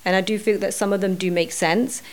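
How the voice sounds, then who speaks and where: Brief: woman guest, earnest and reflective, loose spoken phrasing, middle pitch. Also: fairly even intonation, a little fast. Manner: earnest, thinking aloud with many "you know"s. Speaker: podcast guest. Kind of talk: reflective podcast conversation.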